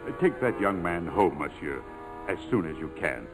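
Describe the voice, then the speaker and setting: A world-weary French riverboat captain, low in pitch with lilting movement. He is courteous, hesitant and grave, in vintage radio drama style, with music behind. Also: expressive, moderate pace. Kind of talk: 1940s radio acting.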